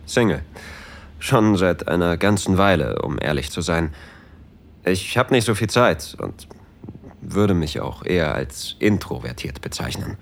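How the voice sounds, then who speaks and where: Very deep-voiced, introverted man played by an actor. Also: animated, a little slow, vocal fry, a little hiss or hum, heavily acted. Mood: shy, flustered. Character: introverted, flustered male train traveler narrating a romantic encounter. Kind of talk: intimate audio drama narration and dialogue.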